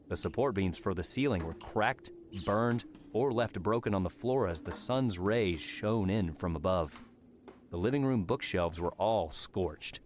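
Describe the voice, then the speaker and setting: A man narrating a scripted fantasy story as an audiobook narrator. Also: fairly low pitch. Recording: clearly noisy background.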